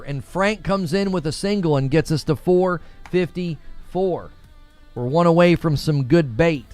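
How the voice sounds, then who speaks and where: A man with a slightly high voice talks casually as a livestream host, slangy and conversational. He moves between chatting and reading out viewer messages and shout-outs, repeating points for emphasis. The sound is close and dry, with light music behind him. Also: no echo.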